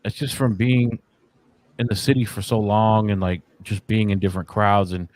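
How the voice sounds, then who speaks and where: A man's voice: on the low side, fairly even intonation, moderate pace, a little mellow. A man in his forties, a podcast guest talking casually in a laid-back, slangy, profane way with lots of fillers. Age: 40s. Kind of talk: casual chat.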